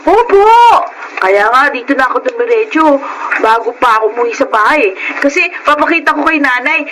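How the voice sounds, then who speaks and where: A woman's voice: high-pitched, lively intonation, fairly quick, background noise. A woman playing a feisty, mouthy young schoolgirl who proudly defends her mother, in Filipino radio drama style with a Filipino accent. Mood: excited, sly. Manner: defiant, mouthy.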